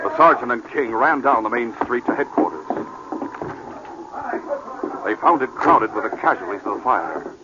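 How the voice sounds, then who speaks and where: A man's voice: mid-range voice, animated, normal speed, long pauses. A man narrating a story in the dramatic scripted style of old-time radio. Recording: noisy, muffled vintage radio recording.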